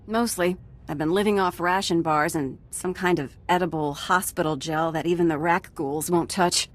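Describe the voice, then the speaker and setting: A frazzled female scientist from a video game, voiced by a woman. She has a slightly low voice and a wry, jittery way of talking, like someone who has been hiding for weeks. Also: fairly even intonation, moderate pace, natural voice. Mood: relieved, wry.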